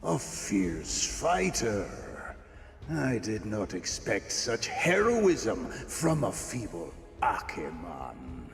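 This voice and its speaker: A proud, dying warrior king speaks in solemn, archaic grandeur. The voice is thick with creak and drawn out slowly, with long cavernous reverb over game music. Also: fairly low pitch, wildly swooping pitch, heavily acted. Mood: proud challenge. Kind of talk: dramatic video game acting.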